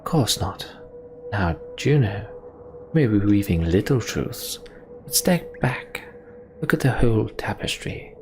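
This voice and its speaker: A man voicing a scheming female healer in a game, sly and confiding, with drawn-out phrases. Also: lowish voice, very animated. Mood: conspiratorial. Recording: background noise.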